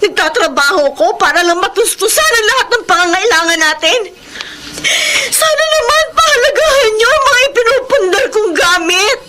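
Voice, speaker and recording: Young breadwinner ate, radio drama: extremely high voice, emotional, fed up, noisy recording. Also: female voice, lively intonation, normal speed. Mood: angry, hurt. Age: young adult. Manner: emotional, tearful, pleading outbursts. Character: overworked breadwinner elder sister, exhausted and fed up. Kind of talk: Tagalog radio drama acting.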